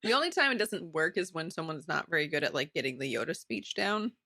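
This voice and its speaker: A woman's voice: low voice, expressive, fast talker, gravelly, sharp tone, dry recording, clean studio sound. A woman co-hosting a podcast, talking in a casual, chatty book club style. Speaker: podcast co-host.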